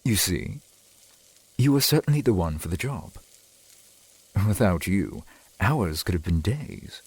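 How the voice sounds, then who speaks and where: Casting: male vampire boyfriend, acted by a man, centuries old, courtly and doting; deep, husky voice with formal old-world diction, ASMR roleplay. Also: big pitch swings, a little slow, dry recording, full character voice. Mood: tender, proud. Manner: formal, archaic, genteel phrasing.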